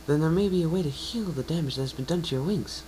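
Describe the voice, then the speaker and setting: A man's voice: a little high, fast, mellow, slight background noise, voice pushed up, natural voice, poor audio. A man voicing an earnest, bookish young female unicorn, polite and easily flustered, in a fan fiction reading. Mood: gentle, earnest. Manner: eager, polite, explanatory.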